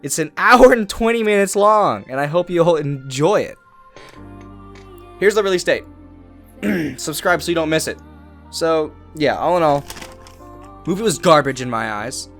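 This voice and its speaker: A man's voice: on the high side, expressive, normal speed, pauses often, a bit bright, soft background music. A young man hosting a comedic movie review, crude and mocking. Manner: crude, mocking, laughs often. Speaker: host.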